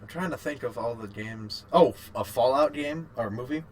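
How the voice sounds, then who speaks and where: A man's voice: medium pitch, moderate pace, a little hiss or hum. A man co-hosting a casual podcast chat about games and films, informal and a little sweary. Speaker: podcast co-host.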